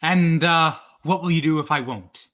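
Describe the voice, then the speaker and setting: A man's voice: a little high, moderate pace, pushed and bright. An actor playing a defiant, sardonic man in an old-time radio drama, with a dry, mock-polite manner. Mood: unruffled. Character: defiant, sardonic man refusing a threatening visitor.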